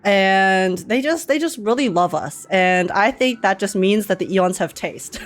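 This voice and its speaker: A woman streamer giving casual live game reactions in an excitable, giggly, emotional manner. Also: mid-range voice, a little slow.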